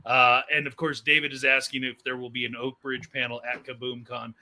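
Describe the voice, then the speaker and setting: A man's voice: mid-range voice, level tone, brisk, bright, forceful tone. A man hosting an interview show in casual chat, with an informal, joking manner. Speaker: host of an audio drama interview show.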